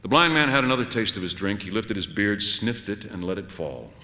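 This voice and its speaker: A man's voice: fairly low pitch, animated, brisk, pushed and bright. A man narrating a first-person short story in a wry, conversational, dramatic way. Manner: wry, conversational, dramatic delivery with shifts into dialogue. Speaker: audiobook narrator. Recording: long hall-like reverb.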